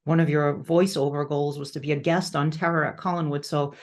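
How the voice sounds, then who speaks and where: A woman's voice: low voice, steady tone, a little fast. A woman hosting a podcast in casual interview chat, effusive and rambling as she goes. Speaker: podcast host.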